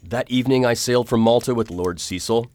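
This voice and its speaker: An actor playing an earnest, determined gentleman hero in a radio drama, speaking gravely and formally. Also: medium pitch, a little fast, no echo, lightly acted. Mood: reflective. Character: earnest, determined gentleman hero recounting his perilous adventure. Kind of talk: radio drama narration and acting.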